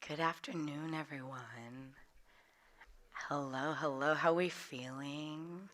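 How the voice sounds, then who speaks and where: A young woman telling stories to a workshop group. Her voice sits a bit low but sounds bright and forceful, with a hoarse, slightly cracky edge from getting over a lost voice. She speaks openly and laughs often. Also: fairly even intonation, slow. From Central Valley of California. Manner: warm, open storytelling with frequent laughs. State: hoarse, recovering from losing her voice. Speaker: workshop leader. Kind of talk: personal storytelling talk.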